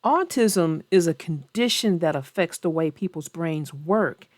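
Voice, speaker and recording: A woman's voice: on the low side, expressive, unhurried, a little mellow, no echo, clean studio sound. A woman narrator and host reading and chatting theatrically for young children, addressing her young readers directly. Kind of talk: expressive read-aloud and chat for young children.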